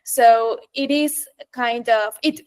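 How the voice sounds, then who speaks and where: Reflective woman, fairly high voice. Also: slow pace, clear, resonant tone. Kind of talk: reflective academic discussion.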